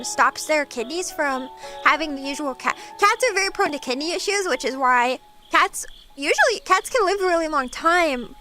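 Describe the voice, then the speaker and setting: A woman from eastern Washington, streaming as a VTuber and chatting casually with viewers, bubbly and quick to giggle. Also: high-pitched, sharp tone. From eastern Washington, USA. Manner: bubbly and giggly, gasps and laughs often. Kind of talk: casual chat with viewers, reading comments.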